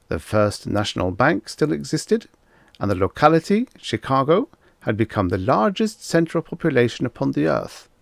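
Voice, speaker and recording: A pompous male professor, as an audiobook narrator plays him, lectures his class with deliberate emphasis. His voice swings widely in pitch and has a gravelly creak. The sound is close and dry, in a storybook style. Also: very animated, no room sound. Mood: pompous, didactic. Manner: didactic, declamatory, deliberate emphasis on figures.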